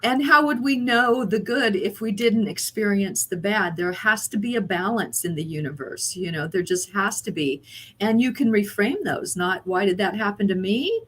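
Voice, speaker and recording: A woman's voice: mid-range voice, expressive, moderate pace, fairly bright tone, slightly rough, rough recording. A woman co-hosting a motivational podcast, speaking in a reflective, affirming way. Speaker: podcast co-host. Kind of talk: motivational chat.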